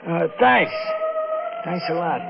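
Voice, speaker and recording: A high, creaky American hobo. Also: male voice, expressive, slow, full character voice. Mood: friendly, wheedling. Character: nosy, folksy hobo hitchhiker, male. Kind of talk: 1950s radio mystery acting. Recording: muffled old radio recording with music.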